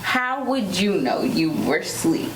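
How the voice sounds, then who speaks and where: An American woman casually telling a personal story as co-host, with an animated, sassy way of talking. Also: lowish voice, slow pace, noisy background. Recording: roomy echo under loud hip hop music.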